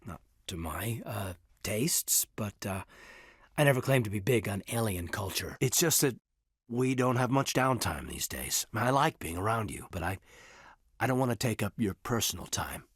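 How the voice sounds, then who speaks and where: An actor playing an earnest, reserved military officer in his thirties in a sci-fi video game, speaking in a measured, hesitant, husky voice. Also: fairly low pitch, animated, dry recording, studio quality. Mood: awkward, tentative. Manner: hesitant, measured, sincere, deferential. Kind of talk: video game character dialogue.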